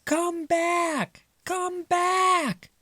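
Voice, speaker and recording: Man putting on high-pitched excited little mouse kids. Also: dry recording, heavily acted. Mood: alarmed. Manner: high, exclaiming, drawn-out calls. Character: excited little mouse children, eager and urgent. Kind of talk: storybook read-aloud character voice.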